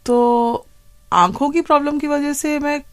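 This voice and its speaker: Highish, dry-sounding Indian woman being interviewed. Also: fairly even intonation, a little slow, no echo. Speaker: interviewee, senior lawyer. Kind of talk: conversational interview storytelling.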